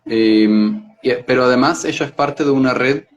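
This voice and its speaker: A man's voice: mid-range voice, moderate pace, muffled recording, a little hiss or hum. A man from Israel speaking as an interview guest, explaining things reflectively and hesitantly, searching for words and repeating them.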